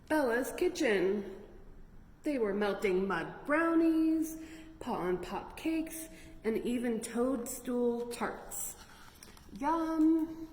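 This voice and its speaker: In a reverberant, noisy room, a woman reads a picture book to young children. She hosts storytime at an unhurried pace with a slightly high voice, animated and full of little asides. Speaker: library storytime host reading picture books aloud. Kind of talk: expressive read-aloud for young children with chatty asides. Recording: echoey, reverberant room with noticeable noise.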